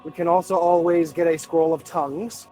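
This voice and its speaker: A man's voice: fairly high pitch, fairly even intonation, moderate pace, mellow, faint music behind. A man talking casually as a tabletop game player, reasoning aloud in a conversational way. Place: online group voice call, livestreamed game.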